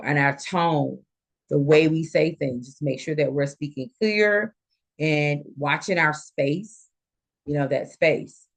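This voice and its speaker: Nursing instructor with mid-range voice, teaching informally. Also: mid-range voice. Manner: explanatory, illustrates points with quoted examples. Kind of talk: informal live lecture to students.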